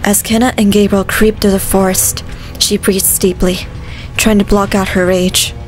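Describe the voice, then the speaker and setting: A woman's voice: mid-range voice, flat delivery, normal speed, soft background music. A woman reading scripted story narration as a narrator.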